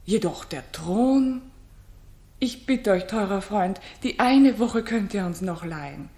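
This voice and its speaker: A woman's voice: mid-range voice, expressive, slow pace, a little mellow. An actress playing a gracious, witty queen in a 1960s German radio drama, with playful, courtly eloquence. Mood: persuasive. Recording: roomy 1960s radio studio.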